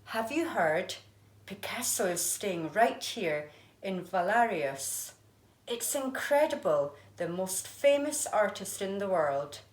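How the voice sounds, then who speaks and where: A woman voicing an excited, gossipy young friend in a storybook, exclaiming in a lifted voice. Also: medium pitch, slow pace. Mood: awed. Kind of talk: storybook character voice. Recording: echoey room.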